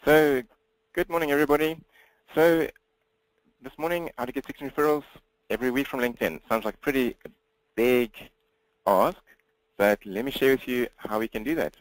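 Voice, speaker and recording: A man with a South African accent giving a webinar presentation as a business coach, talking fast with a slight mumble and frequent restarts. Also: medium pitch, dry recording, low-quality recording.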